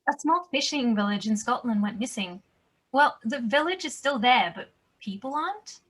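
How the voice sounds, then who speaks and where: A woman's voice: a little high, animated, normal speed, breathy edge, low-quality recording. A woman playing a no-nonsense, wry mother in her mid-thirties in a drama table read. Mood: wry, matter-of-fact.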